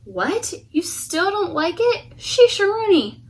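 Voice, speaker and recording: A high, excitable monster storyteller played by a woman reading aloud with a blunt, impatient streak. He sounds sarcastic and easily exasperated, like a picture-book character, with some room noise behind. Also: takes it slowly, full character voice.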